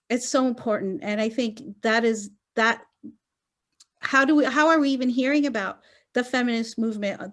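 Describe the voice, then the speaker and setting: An earnest woman talking through ideas on an academic video call panel. She speaks at an everyday middle pitch and keeps checking in with "right?" as she goes. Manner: earnest, thinks aloud with many fillers and "right?" tags. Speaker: gender studies scholar on roundtable panel.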